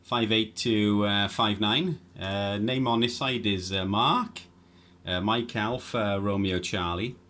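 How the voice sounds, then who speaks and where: A man's voice: lowish voice, steady tone, takes it slowly, pushed and bright. A man chatting casually as an amateur radio operator, rambling and hesitant, often repeating himself. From Wales. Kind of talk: casual ham radio chat.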